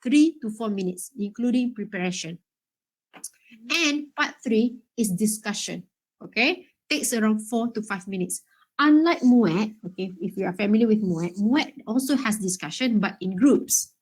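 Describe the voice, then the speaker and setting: A woman's voice: mid-range voice, expressive, normal speed, a little mellow, rough recording. A woman teaching an exam workshop in a chatty way, checking in often with "okay?" and "right?". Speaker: IELTS workshop instructor.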